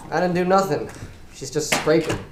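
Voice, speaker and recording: Girl acting as a shady loan shark in a stage play, lowish voice, curt and threatening, echoey with a bit of noise. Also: lively intonation, normal speed. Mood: guarded, cool. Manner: curt, menacing. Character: shady, controlling loan shark named Raymond, coldly threatening.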